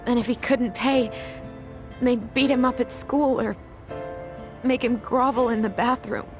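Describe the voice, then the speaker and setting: A woman's voice: fairly high pitch, fairly even intonation, measured pace, lightly acted. Woman acting a guarded, guilt-ridden schoolteacher over music. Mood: somber, confessional. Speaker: English teacher. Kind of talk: dramatic game cutscene acting. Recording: dramatic music behind.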